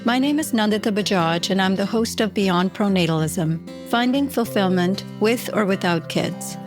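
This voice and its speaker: A woman hosts an interview podcast, reading a scripted intro and then asking encouraging questions. Her voice sits at an average pitch, and clear guitar music plays underneath. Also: normal speed. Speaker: podcast host.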